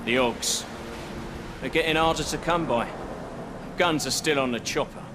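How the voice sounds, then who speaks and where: A man's voice: normal speed, music in the background, natural voice. A man playing a cocky, curt villainous arms dealer in a video game. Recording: clear background noise, vehicle rumble.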